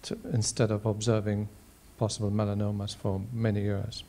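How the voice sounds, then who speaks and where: A man in his sixties answering on a panel in measured, halting phrases, posing questions one after another. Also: on the low side, completely flat delivery, warm tone. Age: 60s. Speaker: panel member, ocular oncologist. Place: large auditorium stage. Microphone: handheld microphone.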